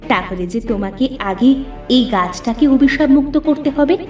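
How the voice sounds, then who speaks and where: Helpful enchanted lemon for a fairy-tale cartoon, female performer: gentle, explanatory, raised pitch over background music. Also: expressive, normal speed, roomy sound, recorded from a distance, lightly acted. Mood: instructive. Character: kindly, helpful talking magic silver lemon.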